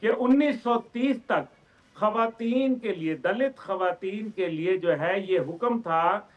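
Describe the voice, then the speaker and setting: Male guest pundit, Pakistani accent, high-pitched, emphatic explainer, muffled low-fidelity sound. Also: slow. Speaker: guest commentator, organisation chairman. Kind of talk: television political commentary.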